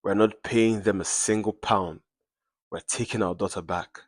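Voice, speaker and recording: A man voicing a resolute, angry father who speaks with tense, deadly calm in a dramatic story. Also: fairly low pitch, unhurried, breathy edge, dry recording, clean studio sound. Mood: defiant.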